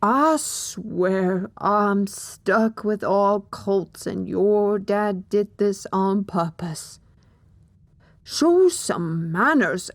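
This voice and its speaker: Woman as a slow-talking, stern motherly mare. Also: medium pitch, lively intonation, no room sound. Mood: stern scolding. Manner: scolding. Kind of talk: audiobook character acting.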